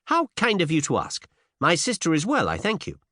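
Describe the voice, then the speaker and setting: A man's voice: fairly high pitch, huge pitch swings, normal speed, sharp tone, no room sound, clean studio sound, voice pushed up, exaggerated voice. A man voicing a cheeky, bold young woman in an audiobook, pert and teasing, with eager curiosity and old-fashioned slang. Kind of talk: audiobook character dialogue with period slang.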